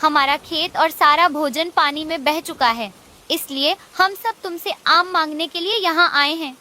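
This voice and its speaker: A woman voicing a hungry female forest bird, earnest and imploring, in an animated children's story. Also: high-pitched, unhurried. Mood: pleading.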